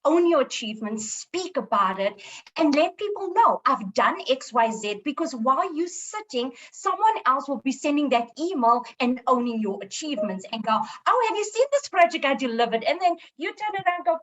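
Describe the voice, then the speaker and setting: A woman in her twenties from South Africa with a squeaky voice, speaking earnestly as a panelist in a panel discussion. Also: very animated, moderate pace, fairly bright tone, rough recording. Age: 20s. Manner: earnest and encouraging, with self-deprecating humor.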